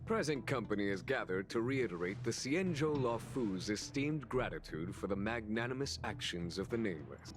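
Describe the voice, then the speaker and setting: An actor playing a dignified, courteous general in a video game, speaking in a formal, stately, ceremonious way, with music behind him. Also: male voice, lowish voice, animated, normal speed, few pauses, close to an everyday voice. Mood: solemn, courteous. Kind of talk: scripted video game voice acting. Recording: music under the voice.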